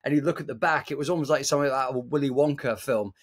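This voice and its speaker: Animated man telling a story. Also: mid-range voice, fast. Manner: animated storyteller, acts out voices. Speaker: podcast co-host. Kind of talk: casual podcast chat.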